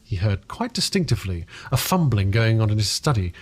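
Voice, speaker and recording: A man reading fiction aloud as a dramatic audiobook narrator, deliberate and expressive, with the occasional stumble of a single take. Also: lowish voice.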